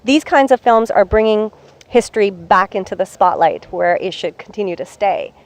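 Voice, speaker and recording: A woman's voice: mid-range voice, normal speed. A woman interviewing film producers in a chatty, enthusiastic way. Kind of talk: entertainment interview.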